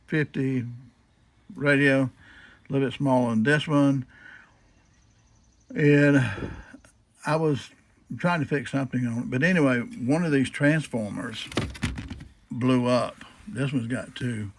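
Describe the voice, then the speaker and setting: A man's voice: medium pitch, takes it slowly, long pauses. A man talking casually and unscripted through a hands-on demonstration, folksy and repetitive, posing questions and answering them himself. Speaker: hobbyist demonstrating old radio restoration.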